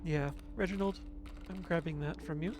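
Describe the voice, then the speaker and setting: A man with a mixed accent casually commentating his card game as a streamer, musing slowly and chuckling to himself. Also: fairly high pitch, fairly even intonation, background noise.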